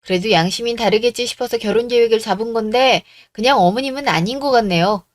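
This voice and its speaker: Radio drama: woman plays plucky young daughter, assertive, sarcastic edge, higher voice, dry sound. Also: quick pace, no room sound, lightly acted. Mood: defiant, cutting. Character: bold, defiant young bride-to-be, plucky daughter. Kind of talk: radio drama story acting.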